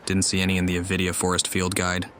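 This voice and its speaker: A man's voice: sits low, completely flat delivery, fast talker, heavy background noise. A man giving short, laid-back, muttered reactions while playing a video game. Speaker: gamer commenting on play. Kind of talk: casual game playthrough reactions.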